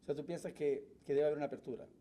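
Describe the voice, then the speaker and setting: A man's voice: on the high side, steady tone, racing, warm tone, a little hiss or hum. A man hosting an interview, asking questions in a hesitant way with many fillers. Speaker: interview host.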